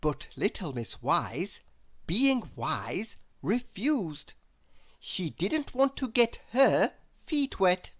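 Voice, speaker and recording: A woman narrating a children's story in a measured, expressive read-aloud style. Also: fairly low pitch. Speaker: storybook reader.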